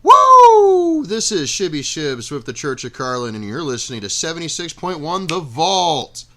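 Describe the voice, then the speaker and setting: Man, exuberant radio promo, forceful bright voice, lively pitch, faint music. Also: moderate pace. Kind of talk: radio station ident.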